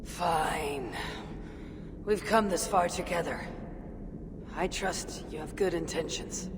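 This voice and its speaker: A woman's voice: on the low side, slow. A woman with a Scandinavian accent playing a stubborn, self-assured Viking raider in a video game, blunt and defiant. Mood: grudging trust. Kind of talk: dramatic video game cutscene acting. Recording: long reverb with clear background noise.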